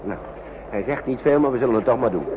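An actor playing a kindly, worried uncle in a wartime radio play, talking to children in a soothing, coaxing, hesitant way. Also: male voice, mid-range voice, fast talker, natural voice. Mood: gentle, soothing. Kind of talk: Dutch radio play acting. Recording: muffled, noisy old radio recording.

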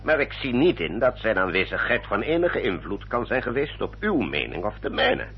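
A considerate, formal lawyer with a low voice and very lively intonation. He sounds reasonable and earnest in radio play style, on a dull old recording. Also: moderate pace, husky, heavily acted. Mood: reassuring. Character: formal, reassuring English family lawyer, kindly and reasonable. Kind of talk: Dutch radio play acting.

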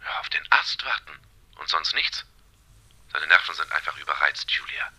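A man's voice: rumbling low, lively intonation, moderate pace, pushed and bright, in a whisper, exaggerated voice, poor audio. An actor playing an irritable, dismissive man in a radio drama, grumbling brusquely through a telephone effect. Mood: defensive. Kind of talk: German radio play acting.

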